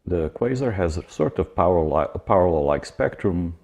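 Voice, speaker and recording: A man giving an academic science lecture as a university lecturer, explaining in a measured way with informal asides. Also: deep voice, lively intonation, a little mellow.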